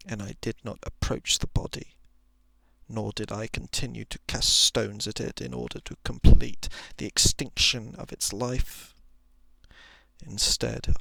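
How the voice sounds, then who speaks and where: Hushed, creaky man reading a thriller. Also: medium pitch, no echo. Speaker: narrator. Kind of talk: whispered ASMR reading of a thriller short story.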